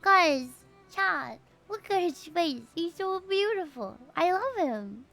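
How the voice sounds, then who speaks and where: A woman's voice: very high voice, animated, slow pace, gravelly, bright, forceful tone, faint music behind. A woman livestreaming a video game in a casual, playful, gushing fangirl manner, chatting to her viewers. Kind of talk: casual livestream chat and reading game dialogue. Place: livestream over game audio.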